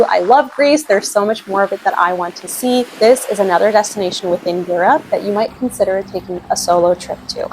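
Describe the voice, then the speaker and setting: A woman in her thirties giving solo travel recommendations in a vlog, speaking in a clear, steady, informative way. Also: medium pitch, a little hiss or hum, low-quality recording. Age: 30s. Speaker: travel vlogger.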